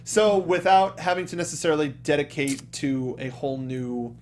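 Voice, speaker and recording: A man's voice: fairly high pitch, steady tone, moderate pace. A man hosting a casual panel chat about video games, giving eager opinions with hesitant restarts. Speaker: show host.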